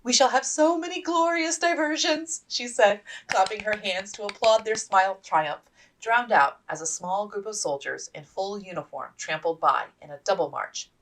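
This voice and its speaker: A woman's voice: deep voice, a bit bright, recorded from a distance, low-quality recording. A woman reading her own novel aloud as scripted audiobook narration, slightly off the microphone.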